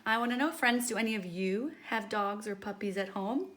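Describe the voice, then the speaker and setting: A woman's voice: mid-range voice, moderate pace, a bit bright, a little hiss or hum. A woman hosting a children's story time, speaking to young listeners in a teacherly way with prompting questions. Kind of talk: read-aloud addressed to young children.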